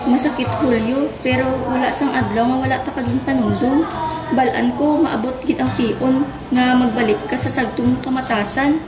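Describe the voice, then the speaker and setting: A woman's voice: sits high, fairly even intonation, normal speed, close to an everyday voice. A woman with a Western Visayan Filipino accent playing a hurt but firm young mother and wife in a radio drama. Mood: earnest, guarded. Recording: echoey room with music bed.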